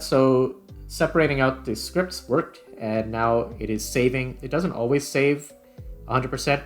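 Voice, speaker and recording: A man casually hosting a game development video, thinking aloud in an informal way. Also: mid-range voice. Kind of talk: casual screen-recorded tutorial commentary. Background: background music.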